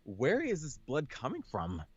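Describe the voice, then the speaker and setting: A man's voice: medium pitch, wildly swooping pitch, a little slow, dull audio, crowd noise. A man reading visual novel text aloud as a co-host of a casual gaming session.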